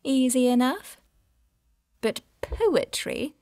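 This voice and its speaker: An actress playing an irreverent, arch storyteller who narrates and comments in an audio drama. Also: female voice, high-pitched, lively intonation, slow, darkish tone, dry recording, studio quality, heavily acted. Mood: arch, amused. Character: wry, irreverent female storyteller commenting on a scandalous life.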